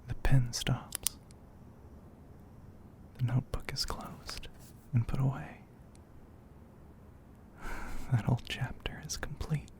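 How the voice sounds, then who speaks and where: A man narrating an ASMR story in a breathy, hushed whisper, poetic and full of pauses, close to the microphone. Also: mid-range voice, steady tone. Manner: slow, hushed, poetic delivery with frequent pauses.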